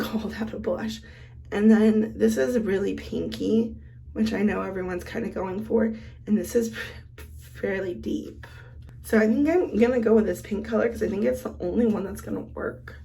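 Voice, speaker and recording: A woman's voice: medium pitch, vocal fry, dark tone. A woman vlogger who is sick and losing her voice, chatting casually while she does her makeup. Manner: chatty, thinks aloud while applying makeup. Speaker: beauty vlogger. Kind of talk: casual get-ready-with-me makeup chat.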